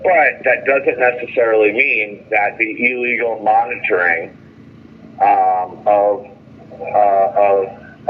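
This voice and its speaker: A man calling in over a noisy phone line, complaining in a frustrated, emphatic way and repeating his phrases. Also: lowish voice. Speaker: jailed caller. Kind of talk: phone call venting about jail and legal case. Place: phone call from jail. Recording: tinny phone line with noise.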